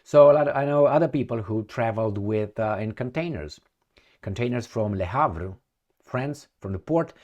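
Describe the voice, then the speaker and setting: A man's voice: medium pitch, lively intonation, moderate pace, a little mellow, muffled recording. A middle-aged man from Romania with a Romanian accent, ranting as a political commentator, sarcastic and profane and full of rhetorical questions.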